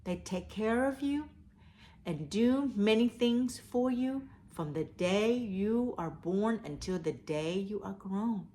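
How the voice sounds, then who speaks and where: A woman reading and talking to children as a storyteller, with deliberate, emphatic phrasing. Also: mid-range voice, expressive, dark tone, slight background noise. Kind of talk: children's read-aloud storytelling. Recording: echoey, roomy sound.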